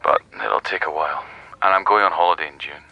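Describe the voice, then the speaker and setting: A man's voice: low-pitched, quick pace, muffled recording. A man playing a curt, dry, blunt police detective in a radio drama. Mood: matter-of-fact.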